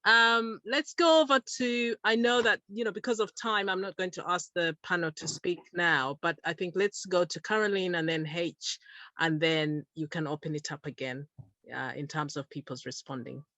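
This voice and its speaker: A host running a video-call discussion, warmly thanking speakers and inviting the next one in turn. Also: medium pitch, steady tone, normal speed, dry recording. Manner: encouraging, moves the talk along.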